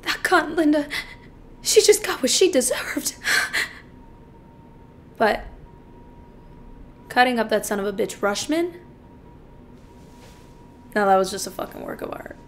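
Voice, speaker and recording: A woman acting out a dramatic film monologue as a manipulative, theatrical killer talking to a lawyer. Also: sing-song. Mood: gloating, cold. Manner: foul-mouthed, taunting, theatrical, halting. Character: manipulative killer client, meek at first, then gloating and mocking. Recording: faint noise and low rumble.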